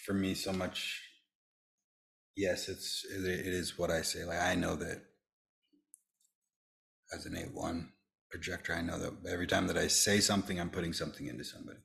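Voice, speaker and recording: A man talking casually as a podcast host, in a halting way that circles back to repeat and rephrase his phrases. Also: low-pitched, flat delivery, gravelly, fairly bright tone. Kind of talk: casual conversational discussion.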